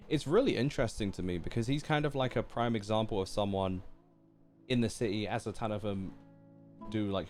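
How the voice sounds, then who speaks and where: A man giving casual, slangy, laid-back live commentary as a game streamer. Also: mid-range voice. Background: game music.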